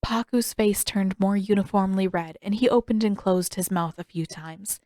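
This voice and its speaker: A woman's voice: medium pitch, steady tone, normal speed, dark tone, no room sound, studio quality. A woman reading scripted fiction as an audiobook narrator.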